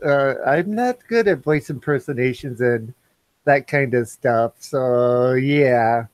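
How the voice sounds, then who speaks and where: A man's voice: mid-range voice, slow, no room sound. A man hosting a casual live stream, reading the chat aloud and joking back at his co-host.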